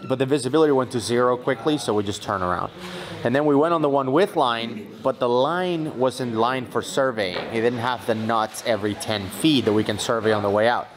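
Male cave diver, thirties, mid-pitched, casual storytelling to camera, other voices audible behind. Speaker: cave diver, show regular. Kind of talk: casual recounting of a dive. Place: in the water of a large cave pool. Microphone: camera a short distance away.

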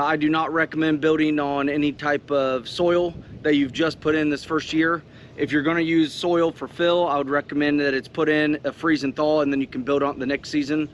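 Male, 30s, slightly high voice, practical tradesman explaining on site, engine rumble behind. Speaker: grain bin builder. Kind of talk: casual explaining on a job site. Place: outdoors on a farm building site. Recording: clear outdoor machinery rumble and noise.

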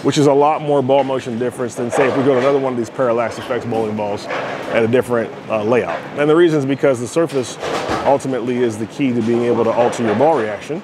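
Male reviewer, casual explanatory demo talk, average pitch, clear background noise of a bowling alley. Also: fast talker. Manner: explanatory, many fillers and "right?" asides. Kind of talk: casual product demo commentary.

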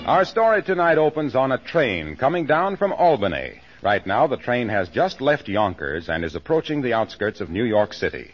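A man's voice: mid-range voice, slightly rough. A man announcing from a script in 1940s radio style. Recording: dull 1940s broadcast, loud music behind. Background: music.

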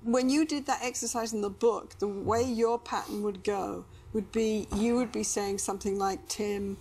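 A woman's voice: medium pitch, fairly even intonation, moderate pace, a little mellow, a little hiss or hum. A middle-aged woman interviewing a couple as a therapist, probing and restating what she hears in simple phrases. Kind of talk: guided therapy conversation. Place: small TV-style studio set, indoors.

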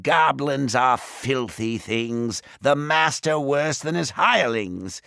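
Thimbletack, a man doing a gravelly little brownie. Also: mid-range voice, lively intonation, sharp tone, no echo, clean studio sound, pitched above the performer's own voice, heavily acted. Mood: solemn. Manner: speaks in slow, singsong rhymes. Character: small, grieving male house brownie. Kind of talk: audiobook character voice.

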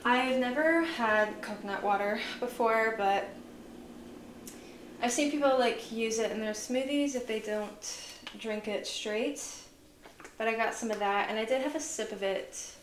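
A woman vlogger chatting casually through a grocery haul, relaxed and full of fillers, sounding tired. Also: medium pitch. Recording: echoey room with noise.

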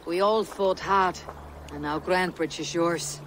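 A woman's voice: on the low side, fairly even intonation, unhurried, fairly bright tone, a little hiss or hum, low-quality recording. An actress playing a stoic but gracious Viking warrior woman in a video game, restrained and plain-spoken. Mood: calm, friendly. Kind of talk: video game cutscene acting.

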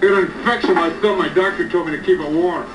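A man's voice: high-pitched, steady tone, fairly quick, far from the mic, close to an everyday voice. A man playing a rude, slovenly diner waiter in a film comedy, blunt and unapologetic, heard as echoey playback. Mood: rude, unbothered. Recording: echoey TV playback from afar, music behind.

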